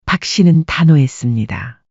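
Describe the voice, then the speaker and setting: Brief: husky, mellow woman storyteller, calm delivery, dull lo-fi recording. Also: extremely low pitch, moderate pace, dry recording. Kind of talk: scripted audiobook narration.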